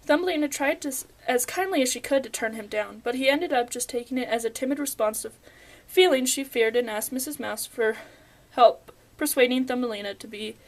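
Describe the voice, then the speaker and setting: A woman's voice: high-pitched, a little fast. A young woman narrating a scripted fairy tale as storyteller, stumbling over words now and then as she reads.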